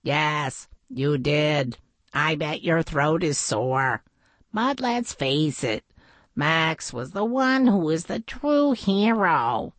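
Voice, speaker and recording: A quiet, kindly storybook animal, voiced by a woman. The friend speaks sensibly and gently, at an unhurried pace and a slightly low, natural pitch. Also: lively intonation, no room sound, heavily acted. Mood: gentle.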